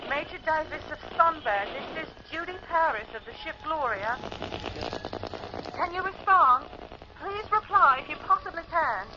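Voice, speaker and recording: An actress playing a gentle, curious spaceship radio operator in a radio drama, with a radio filter on the voice. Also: female voice, high voice, expressive, normal speed. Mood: pleading. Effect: radio transmission filter. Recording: muffled, noisy radio transmission.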